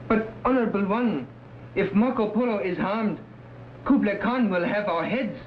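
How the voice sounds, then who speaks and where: A man's voice: sits high, normal speed, natural voice. A man playing a cautious, deferential Chinese conspirator, speaking stilted English in an old adventure serial. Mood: anxious. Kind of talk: 1950s TV adventure serial acting. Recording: roomy, dull, hissy old broadcast recording.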